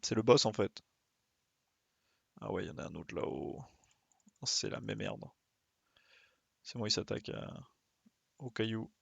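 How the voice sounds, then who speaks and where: A man with a creaky, gravelly voice streams a video game. He thinks aloud casually, muttering to himself and dropping in little playful sound effects. The sound is close and dry, with no room around it. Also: lowish voice, a little slow, long pauses, no room sound. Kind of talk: casual live gameplay commentary.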